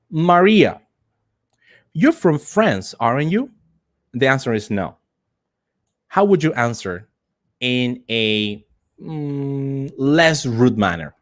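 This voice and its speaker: English teacher, man repeating phrases deliberately. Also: mid-range voice. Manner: repeats phrases, slow deliberate emphasis on example sentences. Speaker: English teacher for call center trainees. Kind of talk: live online lesson, prompting students.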